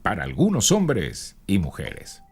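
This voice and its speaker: A suave, candid male movie star in a dramatized interview. His voice is very low and moves expressively, with measured, courtly phrasing. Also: wildly swooping pitch, full character voice. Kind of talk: dramatized reading of a magazine interview.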